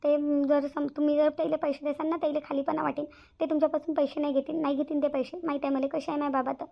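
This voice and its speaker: A woman's voice: sits high, level tone, quick pace, vocal fry, lo-fi sound. A woman with a Varhadi Marathi accent voicing a selfless, earnest young bride-to-be in a cartoon family drama. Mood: resigned, anxious. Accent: Varhadi Marathi from Vidarbha. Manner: earnest, repeats phrases emphatically. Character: anxious, selfless young bride-to-be, tenderly devoted.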